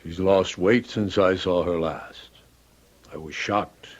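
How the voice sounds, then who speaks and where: A man's voice: deep voice, slow, dull audio. An actor in an old radio drama playing a middle-aged ballet man, emphatic and theatrical, short-tempered yet protective. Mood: concerned.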